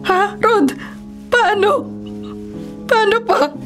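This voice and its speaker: Anxious wife, very high voice. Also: female voice, expressive, drawn-out words, faint music behind, exaggerated voice. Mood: tearful pleading. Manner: pleading, scolding, emotional. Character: anxious, nagging wife of a forest ranger. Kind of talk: Filipino radio drama acting.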